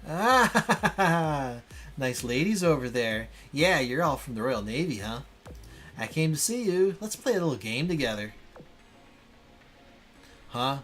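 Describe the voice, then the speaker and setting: A man's voice: fairly high pitch, big pitch swings, slow, long pauses, a bit bright, exaggerated voice. Sardonic, swaggering game character played by a man, exclamatory delivery, music underneath. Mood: cocky, playful.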